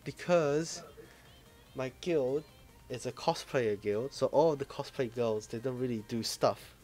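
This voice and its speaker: A man's voice: mid-range voice, a little slow, darkish tone, soft background music. Laid-back man in casual gaming chat. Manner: laid-back, swears casually, trails off searching for words. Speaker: podcast co-host.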